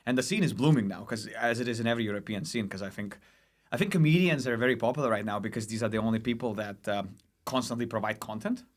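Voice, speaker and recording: A man's voice: on the low side, fast. Lithuanian man chatting casually, rambling. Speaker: stand-up comedian, podcast guest. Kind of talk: casual comedy podcast chat.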